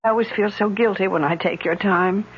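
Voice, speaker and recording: A woman's voice: mid-range voice, fairly even intonation, a little fast, natural voice. A woman playing a glum, refined, middle-aged wealthy lady in a radio drama, reserved and hesitant. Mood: weary, sad. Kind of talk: 1970s radio drama acting. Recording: muffled old radio broadcast, slight noise.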